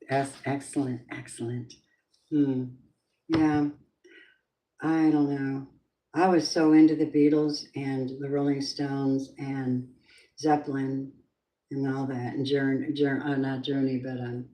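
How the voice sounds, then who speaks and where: A middle-aged woman with a low voice, chatting in a rambling, casual way as she gives a tarot reading on a live stream. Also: flat delivery, slow pace, long pauses, dark tone, low-quality recording. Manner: chatty, rambling, drifting off topic. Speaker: tarot reader.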